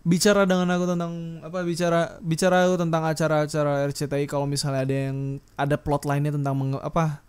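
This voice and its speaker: A man with a fairly high voice and an Indonesian accent hosts a solo podcast. He rants casually and off the cuff, wandering along in blunt slang with the odd mild swear word. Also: monotone, fast, darkish tone, clear, resonant tone. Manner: blunt, rambling, slangy, mildly profane. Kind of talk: unscripted casual ranting monologue.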